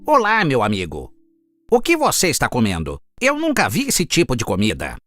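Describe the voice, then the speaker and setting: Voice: mid-range voice, huge pitch swings, normal speed, fairly bright tone, faint music behind. Someone doing a sly smug cartoon fox, sweet-talking, voice swoops all over. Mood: friendly, curious. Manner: coaxing, smug, theatrical. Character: clever sly fox who sweet-talks and teases. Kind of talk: children's cartoon fable dub.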